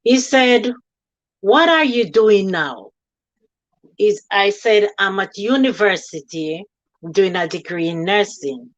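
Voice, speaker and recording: A woman's voice: mid-range voice, expressive, slow pace, highs cut off. A woman born in Jamaica and raised in England, a nurse telling her story earnestly in an interview over a video call. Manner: earnest storytelling, slips into quoting others. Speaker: guest, nurse running a charity.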